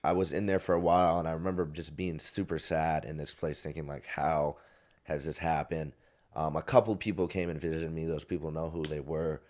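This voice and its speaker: A man's voice: extremely low pitch, little pitch movement, fairly quick, darkish tone. A man in his twenties, a podcast guest, telling a story in a loose, rambling way, with many restarts and fillers. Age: 20s. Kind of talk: casual storytelling. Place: podcast studio.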